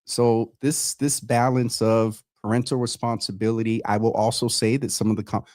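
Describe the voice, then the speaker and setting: A man hosting a live community talk show in a conversational, halting way, often repeating words and circling around his point. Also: fairly low pitch, a little mellow, dry recording, studio quality.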